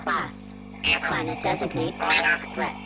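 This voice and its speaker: An actor voicing an alien hologram messenger, solemn and mechanical, in broken, clipped announcements through a distorted transmission effect. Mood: solemn, commanding. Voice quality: gravelly, broken halting delivery. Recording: roomy distant voice under loud music.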